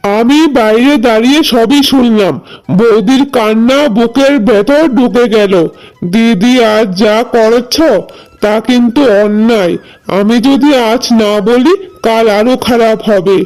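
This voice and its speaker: A woman's voice: a little high, a little slow, a little mellow, soft background music, lightly acted, rough recording. A woman voicing a principled younger sibling in a cartoon family drama, earnest and resolute against injustice. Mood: indignant resolve. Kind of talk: cartoon voice acting.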